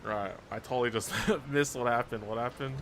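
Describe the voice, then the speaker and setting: A man's voice: mid-range voice, brisk, fairly bright tone, slight background noise. A man doing casual gameplay commentary as a streamer, thinking aloud in an offhand, slangy way. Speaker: gaming streamer. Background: game music.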